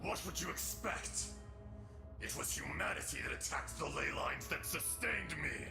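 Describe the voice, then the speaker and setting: A man voicing a proud, vengeful ancient dragon in a video game, with a gravelly voice and furious, dramatic delivery. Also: fairly high pitch, expressive, normal speed. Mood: outraged. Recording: echoing effect, music underneath.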